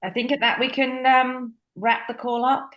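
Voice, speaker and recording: A woman co-organizing a tour, wrapping up a group video call in a brisk, reassuring way. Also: sits high, level tone, rough recording.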